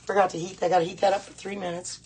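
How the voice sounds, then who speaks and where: Hoarse woman chatting while cooking. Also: fairly low pitch, little pitch movement, very fast, a little mellow. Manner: chatty, repeats herself, greets viewers. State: hoarse voice, clearing throat at times. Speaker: host of a live home cooking stream. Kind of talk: casual live cooking demonstration chat. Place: home kitchen.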